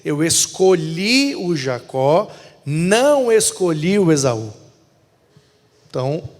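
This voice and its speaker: Man teaching a bible class in a reverberant room. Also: on the high side. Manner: explanatory, asks himself rhetorical questions. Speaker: pastor teaching a hermeneutics class.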